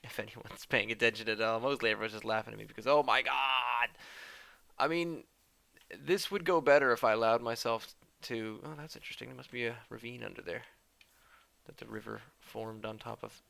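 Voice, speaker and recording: A man narrates his gameplay in a chatty, self-deprecating way, and small discoveries easily excite him. His voice is bright and carries a gravelly rasp. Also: medium pitch, a little fast, dry recording. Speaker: gamer commentator. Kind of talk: let's-play commentary.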